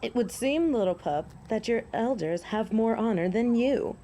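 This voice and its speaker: A woman's voice: a little high, lively intonation, moderate pace, background noise. Proud, formal werewolf woman, voiced by an actress. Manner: formal, archaic, solemn phrasing. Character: proud, honorable female werewolf pleading with human hunters. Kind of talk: dramatic audio drama acting.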